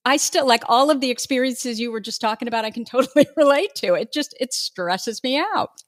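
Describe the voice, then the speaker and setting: A woman's voice: on the high side, brisk. A woman hosting a casual podcast interview, enthusiastic and affirming, laughing along with her guest. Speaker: podcast host.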